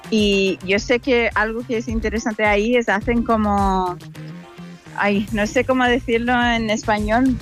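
A woman with an American accent, a native English speaker, talks in a casual interview style. She is chatty and colloquial, pausing to find words, with soft music behind her. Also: medium pitch. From United States. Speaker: interviewee, content creator.

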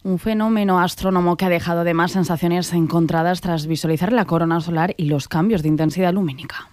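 A female reporter narrates a scripted radio news piece. Her voice is a little low and the sound is very dry. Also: fairly even intonation, fast talker, a little mellow, dry recording.

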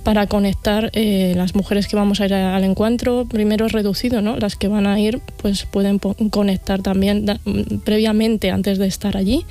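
A woman from Spain talks as an interview guest in a mellow, relaxed voice. Her pitch stays very even as she explains things conversationally, often checking in with short tag questions. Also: normal speed, few pauses. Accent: Spanish from Spain. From Castilla-La Mancha, Spain. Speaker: event organiser, interview guest.

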